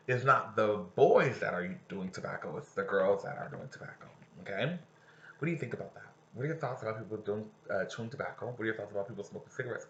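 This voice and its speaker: A male teacher reads a story aloud with a lively, swooping voice that rises and falls through each sentence. His tone is bright and forward, and he keeps breaking off to explain passages and put questions to his students. The recording sounds dull and lo-fi. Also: mid-range voice. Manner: animated, stops to explain and ask questions. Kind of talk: reading a novel aloud to students with commentary.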